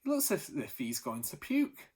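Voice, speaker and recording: A man voicing a blunt girl from a children's street gang who finds fault with everything, with a husky voice. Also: a little high, wildly swooping pitch, normal speed, darkish tone, heavily acted. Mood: critical. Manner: blunt and unimpressed.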